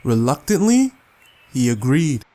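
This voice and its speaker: A man's voice: medium pitch, big pitch swings, unhurried, warm tone. A man reading a scripted short story as a dramatic audiobook narrator. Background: music.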